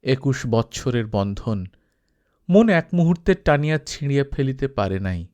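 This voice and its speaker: A man reading a literary novel aloud as an audiobook storyteller, expressive, with dramatic pauses. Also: medium pitch. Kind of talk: scripted literary narration.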